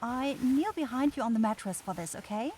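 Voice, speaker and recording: A woman's voice: on the high side, animated, a little fast, mellow, slight background noise, lightly acted. Lady acting as a sweet young witch, shy n stammery, gets flustered easy, fantasy asmr roleplay. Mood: caring, tender. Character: flustered, kind young forest witch nursing an injured stranger.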